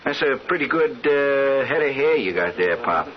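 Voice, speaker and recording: An actor playing a scheming, brash, streetwise barfly hustler in a radio drama, talking in tough, wheedling slang. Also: male voice, mid-range voice, animated, moderate pace, lightly acted. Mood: coaxing, sly. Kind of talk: old-time radio drama acting. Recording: dull, noisy radio drama recording.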